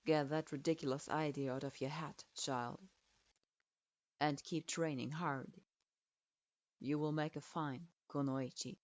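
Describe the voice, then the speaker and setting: A woman voicing an imposing male clan head who speaks calmly, in a measured and patronizing way, recorded with a dull lo-fi sound. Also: low voice, dry recording, pitched below the performer's own voice. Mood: dismissive.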